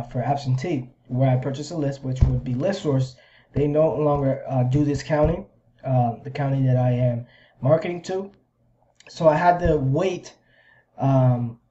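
A man in his thirties talking through a screen-share explainer casually, in a halting, repetitive way as if thinking aloud. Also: fairly high pitch, warm tone. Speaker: video host sharing a business plan. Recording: slightly dull, lo-fi microphone sound.

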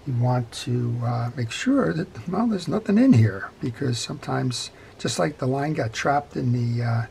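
Guy doing a step by step repair how-to, lots of vocal fry, noisy workbench recording with clicks. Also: mid-range voice, darkish tone. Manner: methodical, plain-spoken explaining. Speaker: fishing reel repair instructor. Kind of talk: hands-on tutorial commentary, unscripted. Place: indoor workbench.

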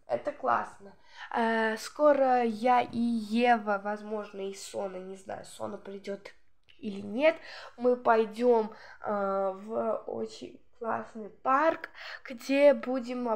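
Woman host, rambling gameplay chatter, slightly hoarse from a cold, raised pitch and plenty of fry. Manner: chatty, rambling, many fillers. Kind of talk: casual gameplay commentary.

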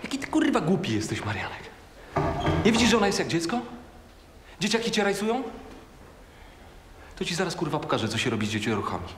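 An actor playing an aggressive, hot-tempered man who mocks and yells abuse. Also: on the high side, big pitch swings, fairly quick, pauses often. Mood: furious. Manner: yelling, mocking, abusive. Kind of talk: film acting. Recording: echoey hall, noisy, from far off.

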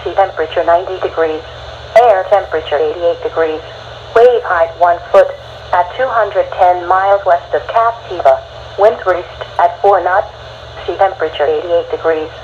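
An American woman's synthetic text-to-speech voice reading a marine weather report in a formal, even recitation. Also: fairly low pitch, moderate pace. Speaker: automated weather radio announcer. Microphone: phone held to a radio speaker. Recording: dull radio broadcast re-recorded by phone, faint noise.

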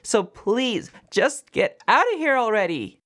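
A high, very animated young wolf girl, acted by a woman for an audio roleplay. She is defensive and easily flustered, whining and stammering her way through excuses at an unhurried, drawn-out pace. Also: exaggerated voice. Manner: stammering, whiny, evasive.

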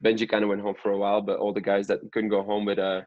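Low-voiced young man, muffled recording. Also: monotone, rapid-fire. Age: 20s. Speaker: professional soccer player being interviewed. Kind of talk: casual podcast interview chat.

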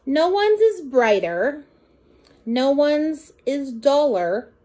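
A middle-aged woman reads a children's story aloud. Her voice is fairly high and very lively in pitch. She takes her time, shaping lines in a deliberate, sing-song storytime delivery. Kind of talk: expressive picture-book reading aloud.

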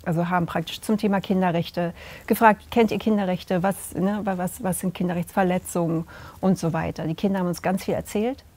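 Lively middle-aged woman, halting answers. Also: on the low side. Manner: animated, searching for words, many fillers. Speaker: theatre director and drama teacher. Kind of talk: interview answers. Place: indoor room with curtains.

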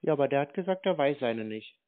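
Male caller, mid-pitched, colloquial and stubbornly direct, heard through thin phone-line audio. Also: animated, quick pace. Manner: insistent, blunt, colloquial. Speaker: caller confronting a call centre. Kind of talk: phone conversation. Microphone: telephone line. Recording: tinny, narrow-band phone call recording.

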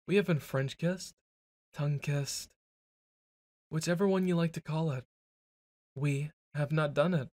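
Slow, teasing young man in roleplay. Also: fairly high pitch, darkish tone, no echo, studio quality. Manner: brash, teasing, flustered stammering. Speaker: boyfriend roleplay performer. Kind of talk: ASMR boyfriend roleplay.

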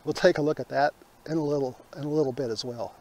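Man in his 60s, fairly high voice, folksy, unhurried, casual gardening host, outdoors. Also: steady tone, darkish tone. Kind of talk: casual garden tour talk.

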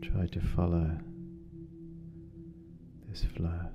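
Deep low voice, even tone, gentle guided meditation, slow with long pauses, music behind. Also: creaky. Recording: dull lo-fi sound, music behind.

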